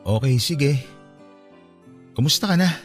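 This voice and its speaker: A man's voice: mid-range voice, animated, unhurried, soft background music, close to an everyday voice. Man playing a subdued, downcast young Filipino ex-boyfriend. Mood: tentative, kind. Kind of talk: Filipino radio romance drama acting.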